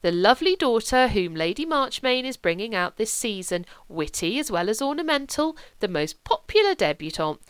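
A woman's voice: on the high side, sing-song, moderate pace, hardly any pauses, clear, resonant tone, dry recording. A woman voicing an elderly family nanny who rambles on fondly and gossips about the household. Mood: fond chatter. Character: elderly female family nanny, fond and chatty. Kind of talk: audiobook character voice.

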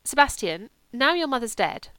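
Woman as earnest, reserved English gentleman. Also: a little high, very animated, moderate pace, clear, resonant tone, no echo, voice pushed up. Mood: concerned. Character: concerned, reserved English gentleman, the novel's narrator. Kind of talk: audiobook character reading.

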